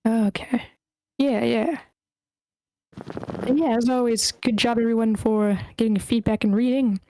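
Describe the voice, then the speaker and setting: A woman's voice: a little high, steady tone, measured pace, mellow, slight background noise, rough recording. A woman hosting an online script table read, chatting casually in an informal, playful way. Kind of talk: casual chat, moderating an online meeting. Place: online voice meeting, dry close sound.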